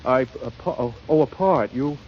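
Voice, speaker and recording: A man's voice: medium pitch, slightly rough, natural voice. A man acting a flustered, eager, hard-up stage actor who stammers, in a 1930s radio comedy-drama. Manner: halting, stammering. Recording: muffled, faintly noisy 1930s radio recording.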